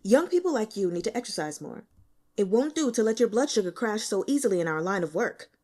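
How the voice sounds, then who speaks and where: A woman's voice: mid-range voice, very animated, a little fast, darkish tone. A woman voicing a brash, cocky male boss in an audiobook, flippant and casually bossy as he teases and lectures. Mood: brisk, pointed.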